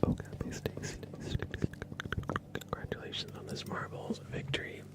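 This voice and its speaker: A man hosting an ASMR livestream, chatting casually and softly close to a sensitive microphone. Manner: whispered, soft-spoken, unhurried. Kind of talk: ASMR, casual stream chat.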